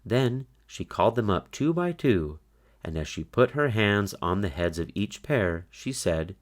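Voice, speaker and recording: A man narrating a children's storybook aloud, with expressive, deliberate, scripted storytelling. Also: lowish voice.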